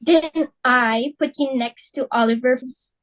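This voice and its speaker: A young woman reading the part of an excitable, fashionable society matron hosting a dinner party, fussy and emphatic. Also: high voice. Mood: fussing. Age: young adult. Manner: fussy, emphatic, quick to exclaim. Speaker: reader for Mrs. Pringle. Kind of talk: student dramatic reading.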